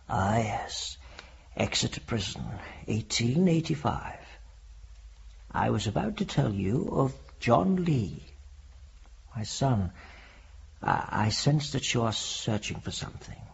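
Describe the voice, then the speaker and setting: An actor playing a kindly, earnest paternal storyteller in a radio drama, husky, gravelly and measured. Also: male voice, mid-range voice, animated, exaggerated voice. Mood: gentle, reflective. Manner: measured, avuncular. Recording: dull lo-fi audio.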